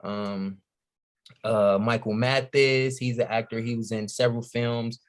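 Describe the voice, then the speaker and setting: A man's voice: medium pitch, steady tone, measured pace. A man from Chicago with an American accent chatting casually and slangily as a podcast guest. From Chicago, USA. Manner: slangy, keeps giving shout-outs.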